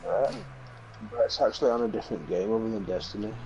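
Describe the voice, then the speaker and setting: A man's voice: on the low side, fast talker, muffled recording, background noise. A man joining over voice chat, trading teasing banter with a friend. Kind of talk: casual chat.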